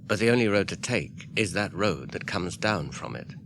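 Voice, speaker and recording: An actor playing a weary, despairing young male hobbit who often speaks in a hushed whisper, in an old radio drama. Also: deep voice, lively intonation, normal speed, creaky, background noise. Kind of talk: 1980s radio drama acting.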